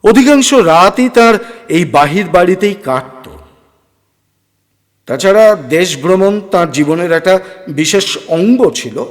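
Slow, high-pitched male horror narrator, heavy reverb. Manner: theatrical, deliberate phrasing with pauses. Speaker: story narrator. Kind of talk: dramatic scripted horror story narration. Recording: long hall reverb, music underneath.

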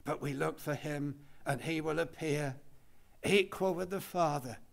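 An older man, preaching a measured expository sermon at a church lectern. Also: a little high, vocal fry. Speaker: pastor. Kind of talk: expository Bible study sermon. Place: church hall, indoors. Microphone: lectern microphone.

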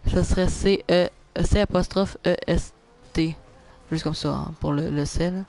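A young man with a Québécois French accent, live-streaming a car soccer game, chatting with viewers and reacting loudly to plays. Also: on the high side, measured pace, creaky. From Quebec. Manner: excitable, groans and repeats "non" at misses. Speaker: gaming streamer. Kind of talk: live gameplay commentary reading chat.

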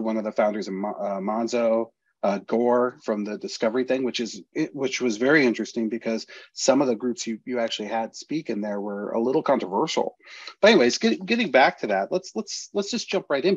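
A man's voice: mid-range voice, slightly rough, no echo. Middle-aged male host, rambling with fillers, dry, muffled home-studio sound. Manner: rambling, restarts, many fillers. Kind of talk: video call interview. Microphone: studio mic with headphones.

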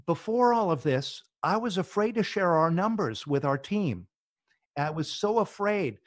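A man's voice: sits high, lively intonation, unhurried, dry recording. Eager man with a dry close sound. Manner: eager, restarts words often. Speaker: podcast guest, business founder. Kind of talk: casual interview talk.

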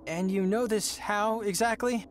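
An actor playing an earnest, bewildered young lord in a video game, incredulous and questioning. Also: male voice, high voice, steady tone, measured pace, a little hiss or hum. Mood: puzzled.

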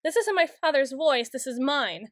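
A woman playing a proud, anxious, easily flustered tsundere young woman in an intimate ASMR roleplay, stammering and halting with wordy, formal phrasing. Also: high voice, expressive, no room sound, clean studio sound. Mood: anxious, pleading. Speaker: audio roleplay voice actor.

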